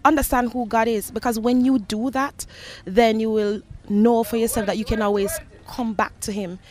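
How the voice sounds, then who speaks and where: A woman's voice: on the high side, steady tone, normal speed, a little mellow. A young woman with a Jamaican accent giving earnest, reflective answers in an interview, as a gospel singer. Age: young adult. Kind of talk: interview answers, personal testimony. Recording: noisy location with ambient sound.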